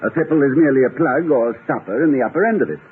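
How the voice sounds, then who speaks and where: Through a thin, scratchy old radio broadcast comes an actor playing a genteel middle-aged college president. He is eager and a bit pompous, stammering politely, and his pitch moves about freely. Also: animated, very fast, phone-quality sound, lightly acted. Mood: earnest, eager. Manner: hesitant, stammering, courteous, slightly pompous. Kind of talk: old-time radio situation comedy acting.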